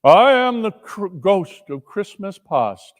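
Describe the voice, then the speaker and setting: A man playing a gentle, commanding ageless spirit, calm and solemn, in a dramatic stage reading. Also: high voice, slow, pitched above the performer's own voice, full character voice. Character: gentle, commanding spirit, childlike yet ancient. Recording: long reverberant hall echo.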